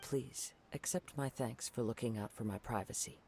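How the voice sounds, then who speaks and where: An actor playing a calm, polite young wandering samurai in a video game, speaking in a formal, measured way. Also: male voice, medium pitch, gravelly, a little hiss or hum, natural voice. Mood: courteous.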